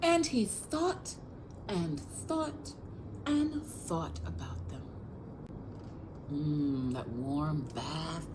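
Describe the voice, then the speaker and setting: A woman's voice: low voice, lively intonation, very slow, pauses often, background noise. An American woman reading a picture book aloud as a children's librarian, expressively, speaking directly to young listeners.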